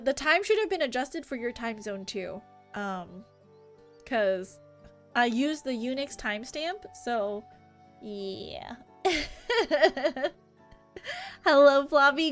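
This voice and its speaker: A woman livestreamer chatting casually during a game stream and reading dialogue aloud. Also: sits high. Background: music.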